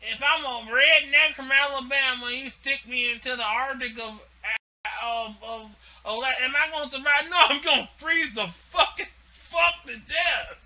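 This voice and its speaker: A man voicing a brash, boastful male redneck worker from Alabama, loud and shouting. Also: extremely high pitch, moderate pace, exaggerated voice.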